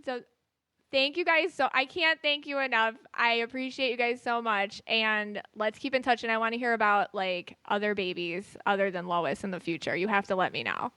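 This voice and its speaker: A woman's voice: on the high side, moderate pace, pushed and bright, clean tone, no echo, clean studio sound. A woman hosting a podcast, chatting casually and bubbly, often laughing, as she reads her guests' energy. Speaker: podcast host and aura reader.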